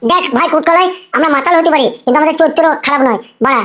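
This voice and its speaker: A woman voicing a foul-mouthed, grumbling young drunken village man in a crude comic cartoon, ranting with an artificially high-pitched voice. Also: very fast, noisy background, natural voice. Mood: indignant ranting. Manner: ranting, swearing constantly.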